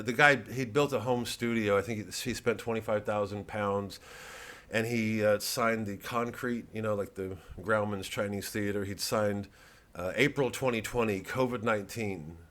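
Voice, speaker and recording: A middle-aged American man, a comedian, talking casually in a halting, digressive monologue full of repeats and asides. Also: on the low side, fairly bright tone. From United States. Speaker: stand-up comedian and satirist. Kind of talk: rambling casual monologue to an audience of fans.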